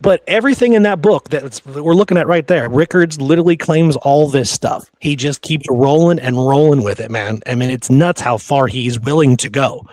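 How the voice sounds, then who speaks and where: A man's voice: fairly high pitch, normal speed, hardly any pauses, darkish tone, no echo. Blunt male podcast host, dry sound. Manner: opinionated, blunt, conversational. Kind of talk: casual history discussion.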